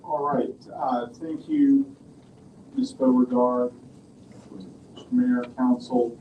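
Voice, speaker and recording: A man, a city attorney, giving a formal legal presentation at a council meeting, measured and procedural, citing code sections and dates. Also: mid-range voice, mellow. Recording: echoey chamber audio from far off, faint noise.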